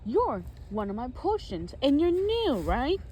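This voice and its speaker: Villainous male wizard for a storybook female performer: menacing, scolding, slow delivery. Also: sits high, very animated, warm tone, a little hiss or hum, pitched above the performer's own voice. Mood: taunting. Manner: taunting, scolding.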